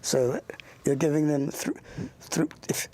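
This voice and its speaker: An older interviewer with a gravelly, husky voice, chatting informally and haltingly with a young guest, often rambling into anecdotes. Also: medium pitch, steady tone, warm tone. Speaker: interviewer, show host.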